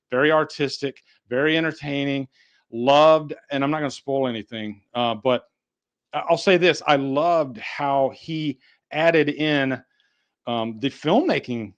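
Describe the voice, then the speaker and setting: A man hosting a casual podcast discussion in a conversational, rambling way, swearing casually. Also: fairly high pitch. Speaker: podcast host.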